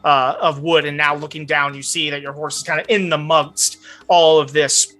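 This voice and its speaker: Game master for a tabletop show: bright, forward voice, conversational scene-setting, music underneath. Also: medium pitch, flat delivery, normal speed. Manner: conversational, descriptive, addressing players directly. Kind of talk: improvised tabletop game narration. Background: background music.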